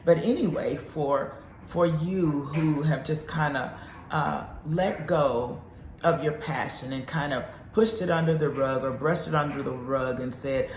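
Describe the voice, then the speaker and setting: A woman's voice: deep voice, a little slow, a little mellow, echoey room, slight background noise. A woman in her fifties, a counselor, giving an earnest, encouraging faith talk. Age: 50s.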